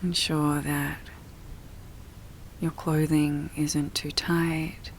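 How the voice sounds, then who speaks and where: A woman guiding a relaxation meditation in a soft, breathy, often near-whispered voice, soothing and full of long pauses. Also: low-pitched, level tone, a little hiss or hum. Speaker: yoga nidra meditation guide.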